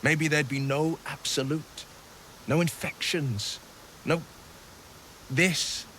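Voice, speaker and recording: An actor playing an earnest, noble young adventurer in a video game, with a heartfelt and eloquent delivery. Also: male voice, fairly high pitch, fairly even intonation, takes it slowly, bright, forceful tone, a little hiss or hum, low-quality recording. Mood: regretful, grateful. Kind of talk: dramatic video game acting.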